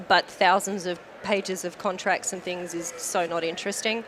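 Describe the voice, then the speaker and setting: A woman's voice: on the low side, steady tone, normal speed, a bit bright, a little hiss or hum. A woman from Australia with an Australian accent, chatting as a guest on a podcast interview, talkative with frequent fillers. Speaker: guest, company manager.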